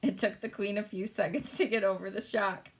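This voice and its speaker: A woman narrating a children's story, reading aloud in an expressive storytelling style. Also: medium pitch, a little fast.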